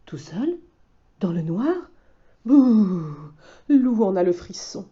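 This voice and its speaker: Storybook wolf, timid young male, stammering, gentle, woman doing the voice, a little higher, muffled sound. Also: very animated, measured pace. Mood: puzzled. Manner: hesitant, stammering. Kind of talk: storybook reading. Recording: slightly dull recording.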